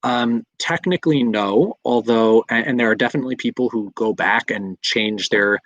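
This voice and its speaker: A man's voice: mid-range voice, normal speed, a bit bright, dry recording, muffled recording. A man in his thirties, a doctor in training, answering viewer questions in a live session, explaining at length with frequent fillers and restarts. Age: 30s. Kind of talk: live Q&A talk.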